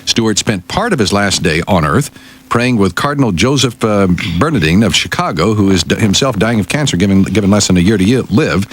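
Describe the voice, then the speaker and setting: An American man working as radio newsreader and sidekick, giving the news and conversing in a mild, measured way. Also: on the low side, expressive. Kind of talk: news reading mixed with casual banter. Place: radio studio.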